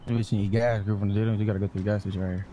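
Male gamer in street roleplay, quick and combative, heavy slang and swearing, slight background noise. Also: lowish voice, level tone, dark tone. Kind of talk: in-character street banter in a crime roleplay game.

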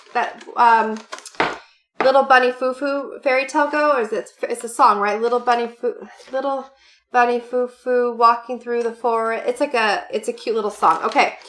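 A woman's voice: sits high, fairly bright tone, a little hiss or hum. A woman in her late thirties chatting casually to camera about party supplies she bought, gushing and full of fillers, sitting at a desk at home. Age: 30s. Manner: bubbly, gushing, many fillers and "you guys". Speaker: haul vlogger. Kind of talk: casual chatty product haul. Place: home room with party decor, indoors.

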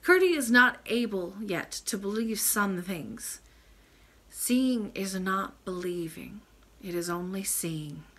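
A woman voicing a kind, wise, ancient grandmother in a storybook, gentle, measured and formal. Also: mid-range voice, animated. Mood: patient, tender.

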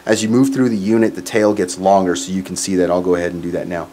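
A man's voice: deep voice, fairly even intonation, quick pace, mellow. A man in his forties giving a casual, matter-of-fact product demo as a gigging musician. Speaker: musician reviewing live sound gear. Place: small curtained home studio, indoors. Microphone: microphone on boom stand into PA, plus some room sound. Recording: clear background noise, some room sound.

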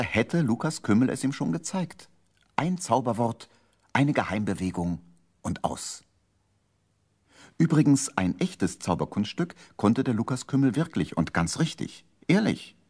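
A man's voice: on the low side, sing-song, moderate pace, a little mellow. A man narrating a children's radio play in a playful, dramatic storytelling manner.

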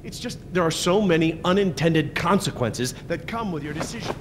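A man's voice: a little high, lively intonation, normal speed, very noisy, lightly acted. A man playing a worried, protective middle-aged father in a video game, earnest and insistent. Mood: concerned. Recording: loud film-style music behind.

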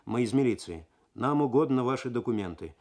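A man's voice: medium pitch, animated, fairly quick, darkish tone, natural voice. Man reading as a stern, mocking fake policeman.

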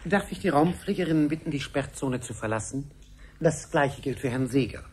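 An actor playing a businesslike, courteous technical delegate with an official manner in a 1970s radio play. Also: male voice, a little high, lively intonation, moderate pace, darkish tone, lightly acted. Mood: businesslike, polite. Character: polite, businesslike male technical delegate at a control desk. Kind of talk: 1970s German radio drama acting. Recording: slightly noisy 1970s radio recording.

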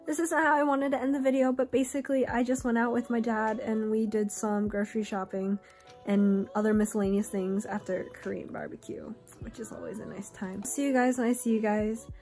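A young woman vlogging casually from an airport, soft and chatty, laughing now and then, filmed on a phone held at arm's length. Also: fairly high pitch, fairly even intonation, a little fast, faint music behind. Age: young adult. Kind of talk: casual Q and A vlog. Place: airport terminal, indoors. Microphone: phone camera at arm's length.

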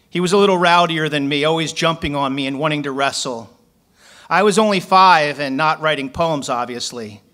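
A man's voice: a little high, pushed and bright. A man in his forties from Philadelphia, a poet reading his work aloud from paper at a stand mic on a small club stage, then telling a story.

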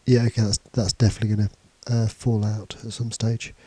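A man's voice: on the low side, level tone, brisk, mellow, husky, dry recording. A man with a cold and a bunged-up voice, casually talking through a programming tutorial over slides with fillers and restarts. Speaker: computing teacher. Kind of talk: screencast tutorial. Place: indoors, voice-over on slides and screen recording.

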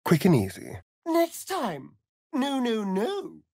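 An actor playing a vain, sardonic vampire rogue in a fantasy video game, with an arch, drawling, theatrical delivery. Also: male voice, extremely high pitch, wildly swooping pitch, slow pace, a little mellow, no echo, studio quality, exaggerated voice.

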